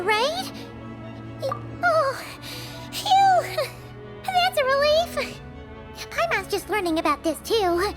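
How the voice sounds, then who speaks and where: A woman voicing a small, excitable, naive childlike companion creature in a video game cutscene, with a squeaky voice. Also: exaggerated voice. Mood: awkward, anxious, then relieved. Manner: refers to self in third person, exclamatory. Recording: background music throughout.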